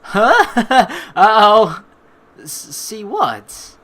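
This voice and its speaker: A woman's voice: medium pitch, very animated, drawn-out words, a bit bright. A young woman doing playful, slangy let's play commentary and reading game narration aloud.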